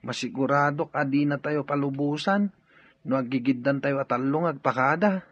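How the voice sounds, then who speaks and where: A man acting a curious, admiring younger brother in an Ilocano audio drama, with a husky voice. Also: mid-range voice, steady tone, normal speed, no echo, natural voice. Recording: dull lo-fi recording, music behind.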